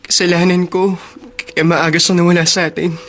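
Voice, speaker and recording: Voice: mid-range voice, flat delivery, moderate pace, a bit bright, slight background noise, natural voice. A performer playing a grieving, guilt-ridden child in a radio drama, plaintive and tearful, with a Filipino accent. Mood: tearful, guilty.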